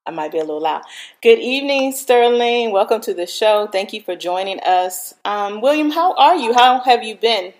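A woman hosting a talk show, loud and chatty, with frequent fillers. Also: fairly high pitch, expressive, normal speed. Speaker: host.

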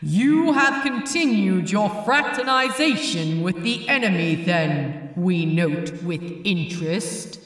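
A woman's voice: medium pitch, animated, slow pace, few pauses, voice pushed down. A woman voicing a cold, imperious spokesman of Heaven in a story, formal and stern, with heavy echoing reverb. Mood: cold disapproval. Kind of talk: fan fiction audiobook character voice. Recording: long cavernous reverb, distant sound.

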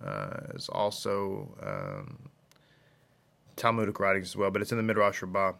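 Bright-voiced man teaching scripture emphatically. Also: deep voice, sing-song, normal speed, gravelly. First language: English. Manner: emphatic, repeats phrases for emphasis. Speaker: Messianic religious teacher.

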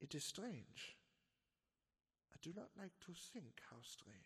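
Guy doing an old foreign professor, formal broken english, swings up and down a lot, husky, long reverb. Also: on the high side, very animated, slow, a bit bright, heavily acted. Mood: grave. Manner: formal broken English, grave then urgent. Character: elderly male foreign professor, urgent and grave. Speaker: Professor Van Helsing. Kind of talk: radio drama acting.

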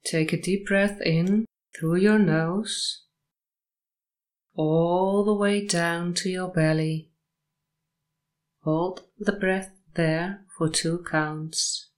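A woman guiding a sleep meditation and hypnosis session in short, measured, soothing phrases with long pauses between them. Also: on the low side, steady tone.

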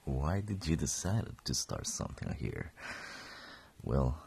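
Gravelly deep-voiced young man, just woken. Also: big pitch swings, slow, a little mellow. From Colombia. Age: 20s. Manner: rambling, self-correcting, chuckling. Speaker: podcast host. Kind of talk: casual solo podcast.

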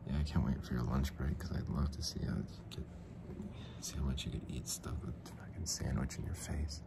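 A man putting on the voice of a leering, sleazy male coworker who talks in a mumbly low whisper. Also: steady tone, a little fast, very noisy. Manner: sleazy, lingering, breathy.

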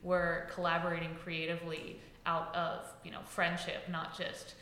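A woman guest on a podcast, an author chatting casually about her work with a loud, talkative delivery. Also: fairly low pitch, monotone, moderate pace, reverberant. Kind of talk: casual interview chat.

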